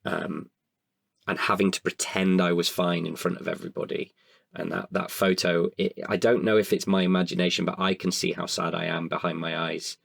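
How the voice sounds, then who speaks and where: A man with a very deep voice and a creaky edge speaks as an interview guest. He is open and introspective, picking his words hesitantly. The recording is close and dry. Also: little pitch movement, brisk, a bit bright, no echo. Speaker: podcast guest, writer and performer. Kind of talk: reflective casual interview talk.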